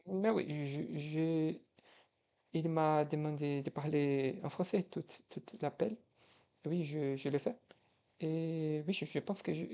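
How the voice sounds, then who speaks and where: A young man with a Brazilian Portuguese accent talks through his days in a relaxed video diary. His voice is mellow and soft-edged, and he hesitates, backtracks and rephrases as he searches for words in a language that is not his first. The recording sounds a little dull and lo-fi. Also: fairly high pitch. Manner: hesitant, frequent restarts and self-corrections. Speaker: language tutor.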